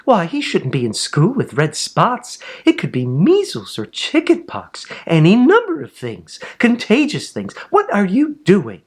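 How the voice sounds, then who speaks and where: A fussy woman school nurse from a children's storybook, acted out by a man. The voice is pitched up and animated, with flustered, questioning emphasis. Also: measured pace, hardly any pauses, breathy edge, heavily acted. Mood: alarmed.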